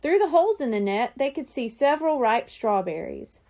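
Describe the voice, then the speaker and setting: A woman narrating a children's storybook in an animated, scripted reading style. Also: high voice, normal speed. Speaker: audiobook reader.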